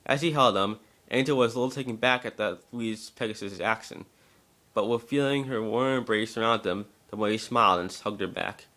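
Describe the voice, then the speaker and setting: A man with a bright, forceful voice, pitched slightly low, narrates a story. It is an amateur dramatic reading with the odd stumble over a word. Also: brisk.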